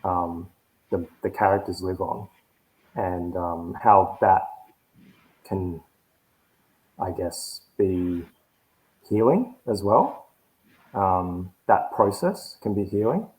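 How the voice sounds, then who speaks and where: A man's voice: deep voice, lively intonation, slow, pauses often, a little mellow, poor audio. A Māori man moderating a festival panel, asking rambling, tentative questions full of fillers. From New Zealand Māori. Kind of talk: panel discussion.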